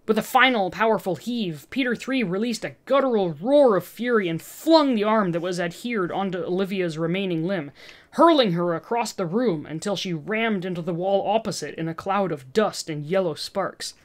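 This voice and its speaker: Woman narrating a dramatic story. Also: fairly low pitch. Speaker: fan fiction narrator.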